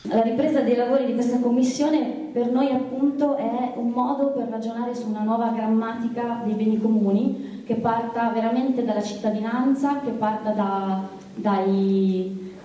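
A woman giving an unscripted political speech as an activist, haltingly repeating words as she searches for phrasing. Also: fairly high pitch, fairly even intonation, mellow. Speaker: activist speaker at a press conference. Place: large indoor theatre. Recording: very echoey hall, distant microphone.

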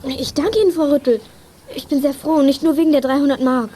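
A female actor as a plucky schoolgirl in a radio play for children. Her voice is high but soft-toned, and she talks in a direct, friendly way over faint noise. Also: fairly even intonation, brisk, lightly acted. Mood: sincere, pleased. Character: plucky, quick-witted schoolgirl, friendly and polite.

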